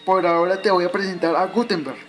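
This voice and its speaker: Man playing a patient father's spirit, higher-pitched voice. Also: fairly even intonation, quick pace, fairly bright tone, soft background music. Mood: instructive. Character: dead father guiding his son through history, patient, instructive. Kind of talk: amateur radio drama.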